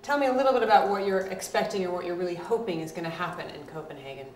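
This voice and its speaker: A woman from the Bahamas, a journalist interviewing a guest at a conference pavilion, asking questions in a brisk, conversational way. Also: fairly low pitch, echoey room, slight background noise.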